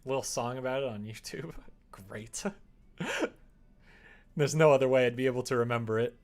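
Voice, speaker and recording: A man's voice: medium pitch, moderate pace. Casual male streamer, muttering as he works. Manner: mutters to himself while working, with sudden louder bursts. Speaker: live streamer. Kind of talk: casual stream chat while working. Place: indoors at a computer desk, keyboard typing behind.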